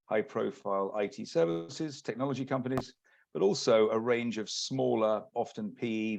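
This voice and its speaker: A man from the UK with a British accent, giving a measured, businesslike webinar presentation as an executive search partner. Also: mid-range voice, creaky. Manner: measured, businesslike, many restarts. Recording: lo-fi video call audio.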